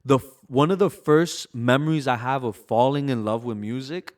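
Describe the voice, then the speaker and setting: A young man from Brooklyn talks through his memories in an easygoing, reflective way. His voice sits at an ordinary middle pitch, and he keeps dropping in casual fillers as he thinks aloud. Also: normal speed. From Brooklyn, New York. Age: 20s. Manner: relaxed, reflective storytelling with frequent "like" and "you know". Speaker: bachata artist, guest. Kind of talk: casual interview conversation.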